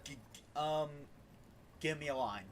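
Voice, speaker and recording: Higher-voiced man hosting a stream, halting delivery. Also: a little hiss or hum. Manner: halting, stumbling and repeating words. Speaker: game streamer, host. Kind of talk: casual livestream chat. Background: faint game music.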